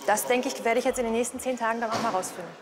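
A woman's voice: a little high, very fast. Young woman in a car, light and casual, somewhat off-mic, music bed. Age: young adult. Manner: casual, upbeat. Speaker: test driver. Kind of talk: on-location interview. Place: inside a car.

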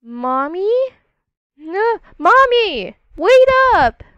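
A woman's voice: extremely high pitch, sing-song, drawn-out words, fairly bright tone, no room sound, lo-fi sound, pitched above the performer's own voice, full character voice. A woman voicing an anxious young child in a roleplay story, plaintive and calling out. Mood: anxious, pleading.

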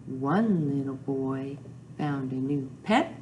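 An older woman hosts a storytime for young children with rhymes and counting. She speaks very slowly in a sing-song, repetitive way, with pitch that moves up and down a lot. There is some background noise behind her. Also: lively intonation, lo-fi sound. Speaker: children's librarian, storytime host. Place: small indoor room with whiteboard.

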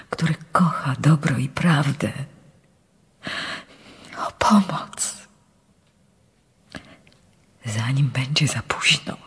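An actress playing a frail, fearful foreign nun in a radio drama, speaking in a halting, hushed, breathy voice as she pleads. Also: low voice, full character voice. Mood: weary, pleading. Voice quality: breathy, whispery, husky. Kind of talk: radio drama letter monologue. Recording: long hall-like reverb.

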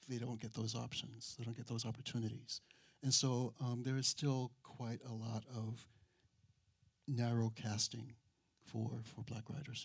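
A middle-aged man talks as a critic on a panel. His pitch sits in an average range and stays very even. He is reflective and measured, often pausing to rephrase. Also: gravelly, slightly rough. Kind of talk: thoughtful panel discussion. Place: auditorium stage. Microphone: handheld microphone held near mouth.

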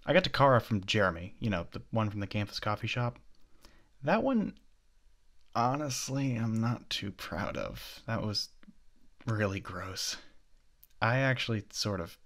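A man in an ASMR roleplay playing an obsessive, possessive young stalker, with an intimate, halting delivery that slips into breathy whispers. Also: fairly low pitch, a bit bright.

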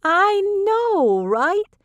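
A high, gushing aunt who fusses over everyone, as a woman reads her. She drawls out her sweet words in a bright, lifted voice. Dry, close recording. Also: sing-song, a little slow, no room sound. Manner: gushing, doting. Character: affectionate, touchy-feely middle-aged aunt.

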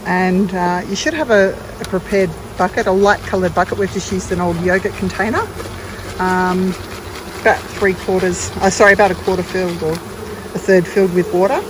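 A woman's voice: fairly low pitch, steady tone, normal speed. A woman giving a casual, unscripted step-by-step beekeeping demonstration in a practical way. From Australia. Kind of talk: casual hands-on tutorial narration. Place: outdoors in a garden among beehives. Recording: clear background noise of buzzing insects. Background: bees buzzing, outdoor ambience.

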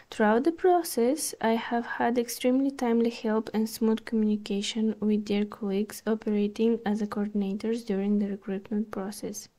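Woman reading her own article on a podcast, kinda high voice but mellow, calm and a bit hesitant. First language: Bulgarian. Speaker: podcast host.

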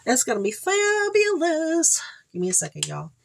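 A woman's voice: high voice, wildly swooping pitch, moderate pace, gravelly, slight background noise. A woman in her forties, congested, chatting casually to camera as host of her shopping haul vlog from a room at home. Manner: chatty, rambling, upbeat asides. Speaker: haul vlogger, channel host. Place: home room with shelves, indoors.

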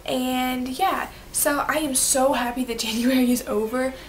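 A woman's voice: high-pitched, steady tone, slight background noise. A young woman with a stuffy, cold-affected voice, gushing about her favorite products in a casual vlog recorded in her bedroom. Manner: bubbly, gushing, quick asides. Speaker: beauty vlogger. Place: bedroom, indoors.

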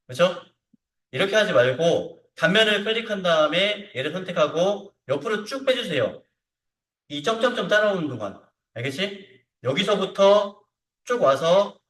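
A man teaching software step by step in an informal way, often checking in with short tag questions. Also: on the high side. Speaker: CAD instructor. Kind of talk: hands-on software tutorial to students. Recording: roomy echo, distant mic.

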